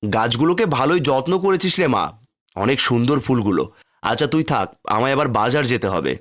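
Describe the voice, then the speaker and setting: Guy playing a kind village dad in a cartoon, normal mid voice, gentle and reassuring. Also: mid-range voice, big pitch swings, brisk. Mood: surprised, kindly. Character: kindly, hardworking village milkman father. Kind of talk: cartoon fairy tale dialogue.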